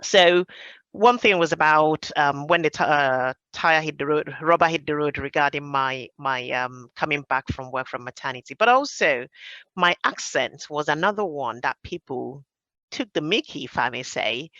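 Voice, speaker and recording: A middle-aged Nigerian-accented woman talks in a personal, conversational way on a panel. Her voice has a bright, forceful edge and an emphatic, reflective manner. The sound is dry and close, though a little dull. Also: low voice, normal speed, dry recording. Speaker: podcast panellist, major projects professional.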